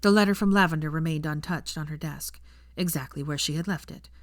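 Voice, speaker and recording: A woman's voice: low-pitched, fairly quick, no room sound, clean studio sound. A woman narrating a fan fiction audiobook, reading scripted prose as a storyteller.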